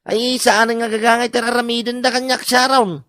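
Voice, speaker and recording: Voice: medium pitch, flat delivery, normal speed, a bit bright, no room sound, studio quality, close to an everyday voice. An actor playing an exasperated, grumbling worker fed up with nosy neighbours in an Ilocano comedy radio drama. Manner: grumbling, exclaiming.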